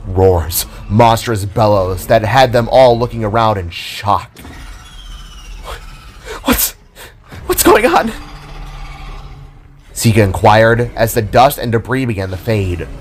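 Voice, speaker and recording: Low-voiced man narrating a grim audio drama. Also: slight background noise. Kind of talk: dramatic scripted story narration.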